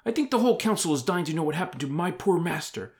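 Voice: medium pitch, expressive, quick pace, darkish tone, voice pushed up, natural voice. A narrator playing an indignant, plain-spoken lad in a comic storybook fantasy. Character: indignant, touchy chubby lad, fantasy adventurer.

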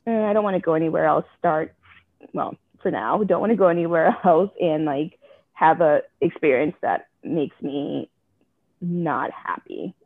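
A woman's voice: sits low, unhurried, no room sound. A woman from Jamaica speaks frankly and earnestly as an interview guest. Her voice comes through a narrow, tinny phone-like line. There is no room sound on her voice at all. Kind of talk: candid personal interview.

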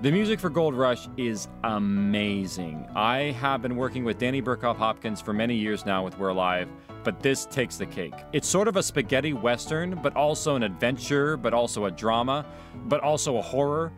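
A man's voice: medium pitch, expressive, moderate pace. A man speaking as an audio drama creator in a documentary interview. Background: music.

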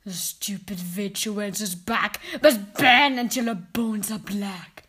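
A woman voicing an imperious, child-hating witch queen in a storybook, with drawn-out, menacing delivery. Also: medium pitch, a bit bright, husky, pitched below the performer's own voice. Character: furious, tyrannical Grand High Witch who loathes children.

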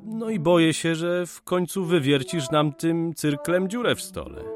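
A man's voice: fairly high pitch, animated, unhurried, soft background music. A man playing a practical, teasing geometer and concerned friend in a radio play, with a wry, matter-of-fact, friendly manner. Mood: concerned, curious. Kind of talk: Polish radio play acting.

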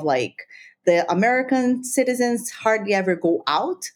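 A woman from Brazil whose first language is Spanish, talking about her own life as an interview guest. Her voice sits at a middle pitch, and she talks in a chatty, animated way, with plenty of fillers. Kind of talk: casual interview chat.

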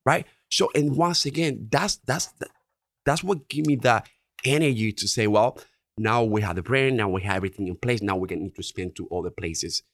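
Earnest Dominican-accented man, deep voice. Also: normal speed, no room sound, clean studio sound. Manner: earnest, repeats "right?" often. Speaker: insurance agency owner. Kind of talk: podcast interview.

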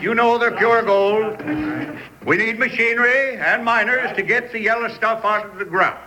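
An actor playing a sly, smooth-talking American swindler who poses as pious, in a radio drama. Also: male voice, high voice, steady tone, normal speed, a crowd in the background, close to an everyday voice, low-quality recording. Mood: salesmanlike. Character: sly male swindler posing as pious mine seller.

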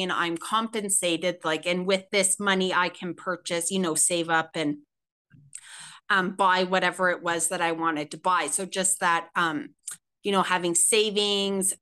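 Bright, chatty woman. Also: on the low side, smooth. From Canada, Ontario. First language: Swiss German. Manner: chatty, rambling, heavy filler words, frequent laughter. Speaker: guest. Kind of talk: casual interview chat.

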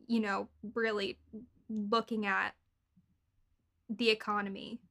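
A young woman with an American accent, co-hosting a casual podcast in informal, halting phrases sprinkled with "like". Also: medium pitch, little pitch movement, gravelly, a bit bright. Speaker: podcast co-host. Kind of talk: casual political podcast chat.

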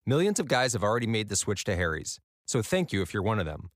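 An American man delivers a scripted advert in a conversational way. His voice is fairly low with lively intonation, recorded close and very dry. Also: quick pace, no echo. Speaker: company founder advertising his product.

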